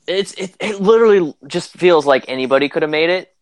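A man's voice: on the high side, lively intonation, fairly quick, no room sound. A man in his mid twenties chatting casually as a podcast co-host, laid-back and joking. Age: mid 20s. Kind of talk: casual chat.

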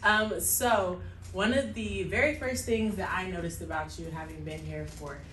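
A woman's voice: fairly low pitch, fairly even intonation, normal speed, fairly bright tone. A woman with an American accent, conducting a conversational interview. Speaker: interviewer, church social media member. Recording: echoey, distant microphone, faint noise.

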